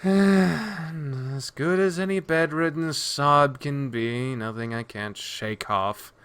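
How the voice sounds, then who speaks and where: A man's voice: on the high side, very animated, a little slow, fairly bright tone, no room sound, voice pushed up. Fierce clawed warrior mother played by a man, cocky, sardonic, sweary; fantasy story, dry sound. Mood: weary, gruff.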